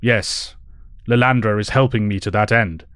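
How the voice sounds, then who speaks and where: A man playing a wise, reflective professor and mentor in an audio drama, formal and measured. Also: lowish voice, fairly even intonation, a bit bright, smooth, no room sound, studio quality, close to an everyday voice. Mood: warm, hopeful.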